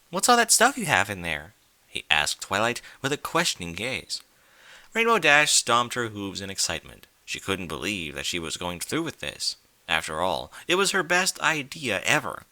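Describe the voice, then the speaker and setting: Very bright, animated male storyteller. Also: fairly low pitch, dry recording. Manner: theatrical, emphatic. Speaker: narrator, reader of fan fiction. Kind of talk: dramatic scripted story narration.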